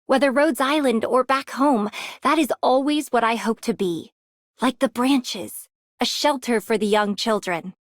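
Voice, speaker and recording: An actress playing a gentle, nurturing woman who protects children in a video game. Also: a little high, a little slow, no echo, close to an everyday voice. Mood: tender.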